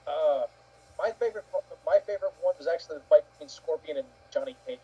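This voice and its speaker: A man speaking hesitantly as a panelist in a casual movie chat, over a muffled, low-quality connection. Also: quick pace, mellow. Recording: muffled lo-fi connection with faint noise.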